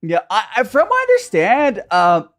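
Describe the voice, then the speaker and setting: A man's voice: high voice, wildly swooping pitch, normal speed, a bit bright, faint music behind. A young man from Southern California with a Southern Californian accent, chatting casually with viewers while drinking tea, rambling and self-mocking. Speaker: streamer hosting a tea chat. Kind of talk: casual livestream chat with viewers.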